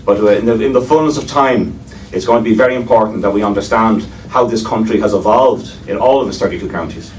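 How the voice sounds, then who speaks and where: Irish male chairman, low voice, wry and hesitant, distant mic with faint hiss in a lecture room. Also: fast talker, a little mellow. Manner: wry, digressive, with frequent hesitations. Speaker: chairman of a panel discussion. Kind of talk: formal panel introduction. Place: lecture room before an audience.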